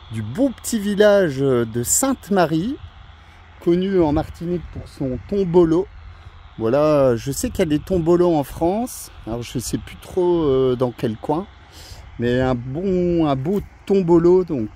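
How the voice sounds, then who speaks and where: A man's voice: medium pitch, dark tone. A man who works as an amateur radio operator, chatting casually and often repeating his words and phrases. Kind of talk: casual radio contact chat.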